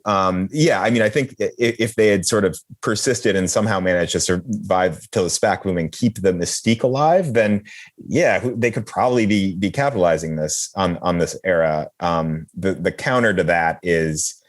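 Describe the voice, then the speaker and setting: Very deep-voiced journalist, halting. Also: male voice. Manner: hesitant, many fillers and restarts, chuckling. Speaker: journalist guest. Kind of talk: explanatory interview answers.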